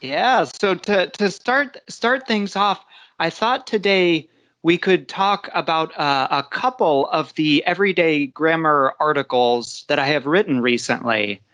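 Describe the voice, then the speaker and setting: A man teaching an online English lesson, explaining step by step in an unhurried way with frequent "uh"s over a video call. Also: fairly high pitch, a bit bright. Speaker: guest teacher.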